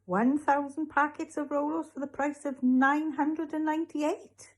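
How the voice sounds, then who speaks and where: A woman voicing an eccentric, eager male newsagent in a storybook, deliberate and full of salesman's enthusiasm. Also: mid-range voice, big pitch swings, a little mellow, natural voice. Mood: excited haggling. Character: eccentric, eager male newsagent pushing odd special offers. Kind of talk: storybook character voice.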